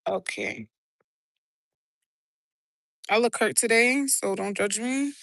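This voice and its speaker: A woman with a New York accent, chatting casually and excitably on a live stream from a city rooftop, talking into her phone. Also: on the high side, slow, gravelly, no room sound, studio quality. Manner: chatty, swearing, rambling and excitable. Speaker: livestreamer. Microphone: handheld phone.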